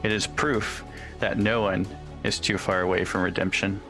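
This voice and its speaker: A man's voice: deep voice, fairly even intonation, normal speed, music in the background. A man playing a tabletop roleplaying game, describing his moves and speaking for his character. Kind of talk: casual in-game action description and in-character speech.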